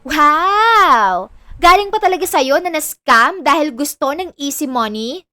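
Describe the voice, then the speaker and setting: A woman's voice: high-pitched, lively intonation, a little slow, bright, forceful tone, no room sound, studio quality, exaggerated voice. A woman playing a money-hungry, sarcastic and bossy younger sister in an audio drama. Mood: indignant, sassy.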